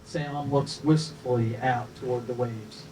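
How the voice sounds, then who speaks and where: A man's voice: medium pitch, steady tone, unhurried, warm tone. A man reading scripted stage directions as narrator at a live table read, recorded from a distance with some noise.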